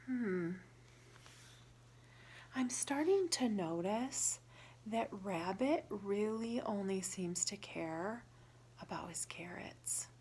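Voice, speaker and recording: Slow-paced woman reading to children. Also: mid-range voice, lively intonation, a little mellow, slight background noise. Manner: teacherly, prompts listeners and explains words simply. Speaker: storyteller reading a picture book aloud. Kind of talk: children's read-aloud with questions and word explanations.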